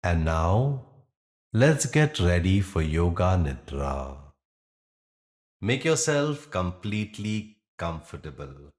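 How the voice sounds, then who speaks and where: Male meditation guide: deep register, very unhurried, gentle and soothing, measured pauses. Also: very animated. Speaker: yoga nidra meditation guide.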